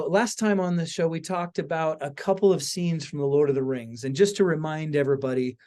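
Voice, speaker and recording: A man hosting a podcast, talking conversationally in an earnest, halting way with repeated phrases. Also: medium pitch, a little mellow. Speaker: podcast host.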